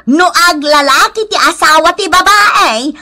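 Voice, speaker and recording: A woman with a northern Philippine accent playing a nosy, sharp-tongued housewife in a comedy radio drama. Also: very high-pitched, a little slow, full character voice. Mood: smug gossiping. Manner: gossipy, sardonic, exclamatory.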